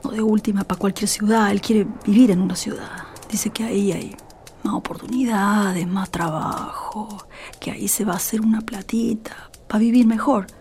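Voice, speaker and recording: A woman playing a troubled, humble rural mother in a radio drama, speaking earnestly in a breathy voice with a northwestern Argentine accent. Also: mid-range voice, fairly even intonation, moderate pace, darkish tone, slight background noise, natural voice. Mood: bitter, worried. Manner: earnest, plaintive, rustic.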